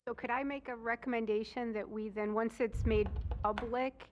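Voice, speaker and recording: A woman on a city commission speaking in a measured, earnest way at a public meeting. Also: a little high, steady tone, slight background noise. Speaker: commission member. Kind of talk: meeting discussion.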